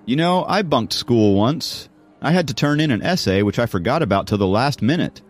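Male narrator as a teenage boy, raised pitch, lively intonation, proud, wry, audiobook character, background music. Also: moderate pace, close to an everyday voice. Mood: amused storytelling. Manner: wry, boastful. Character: teenage schoolboy runner, proud, wry, sarcastic.

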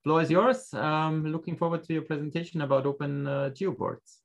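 A man's voice: a little high, fairly even intonation, a little fast, vocal fry, noisy background. A man casually moderating a session and introducing a speaker over a video call. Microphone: video call microphone.

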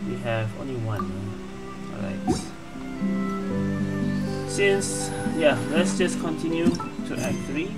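Very low-pitched, slow woman gamer. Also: expressive, poor audio. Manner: musing, low-key. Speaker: gaming video host. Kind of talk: casual gameplay commentary. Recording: loud game music under the voice. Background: video game music and sound.

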